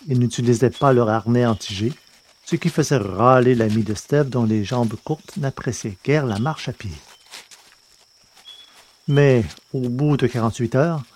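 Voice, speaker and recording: Brief: male podcast host, steady measured audiobook narrator, mid pitch. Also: a little hiss or hum.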